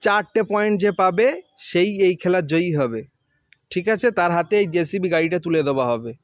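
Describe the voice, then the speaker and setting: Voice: mid-range voice, animated, normal speed, no room sound, clean studio sound. Quiz host asking kids stuff, explains it over again patiently, mid voice, no room sound. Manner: explains and repeats answers patiently. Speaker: quiz host questioning children. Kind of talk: informal spoken quiz with children.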